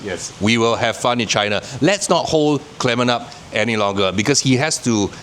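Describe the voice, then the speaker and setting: A man's voice: medium pitch, lively intonation, normal speed, fairly bright tone. A Singaporean man hosting a travel vlog, chatting upbeat to the camera at an outdoor night market. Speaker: travel vlogger host. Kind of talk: travel vlog narration and chat. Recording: noisy outdoor street recording.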